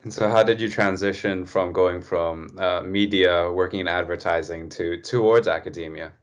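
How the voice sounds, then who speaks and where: A man's voice: deep voice, fairly even intonation, moderate pace. A young man co-hosting a student podcast and asking curious questions in a casual way. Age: young adult. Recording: dull lo-fi sound.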